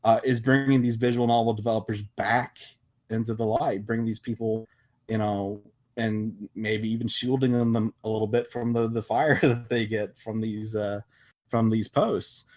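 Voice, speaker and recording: A man's voice: lowish voice, fairly even intonation, moderate pace, darkish tone. A man talking casually on a podcast as a panelist, emphatic and often restarting his sentences as he makes his point. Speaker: podcast panelist and visual novel developer.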